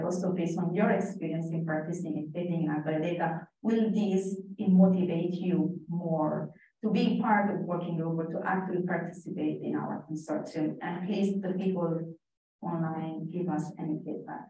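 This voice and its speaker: A woman's voice: fairly low pitch, fairly even intonation, normal speed, mellow, distant mic. Woman presenting in an echoey hall. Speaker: working group presenter. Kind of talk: conference presentation. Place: conference room.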